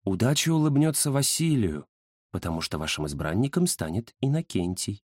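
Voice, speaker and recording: A man voicing a sly, unflappable female fortune-teller who mutters in a deadpan way in a children's story. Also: on the low side, normal speed, slightly rough, no echo, clean studio sound. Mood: unruffled.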